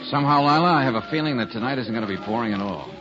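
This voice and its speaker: An actor playing a smooth, wry private detective working undercover in a 1940s radio drama. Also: male voice, mid-range voice, expressive, racing, music in the background, natural voice. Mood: playful. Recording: dull old 1940s radio recording.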